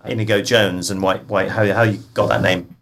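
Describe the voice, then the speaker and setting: A man interviewing a guest, asking long questions in a halting way with many restarts. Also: on the low side, flat delivery, a bit bright. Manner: halting, restarts his sentences.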